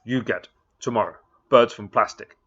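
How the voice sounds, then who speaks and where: A man reading aloud and voicing a small, proud warrior chief who makes loud, clipped demands in broken speech, in a dull lo-fi recording. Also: lowish voice, moderate pace, husky. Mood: demanding.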